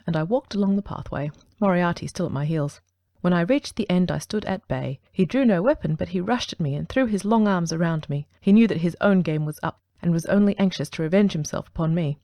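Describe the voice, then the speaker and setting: A woman voicing a calm, precise middle-aged male detective who tells his tale in a measured, composed way in a dramatic audiobook reading. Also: on the low side, no room sound. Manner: measured, dry, composed storytelling.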